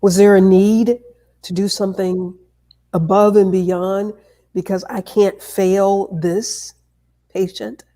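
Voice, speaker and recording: A woman hosting an interview, asking questions in halting, thoughtful phrases. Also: mid-range voice, level tone, mellow. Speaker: interview host. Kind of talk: conversational interviewing.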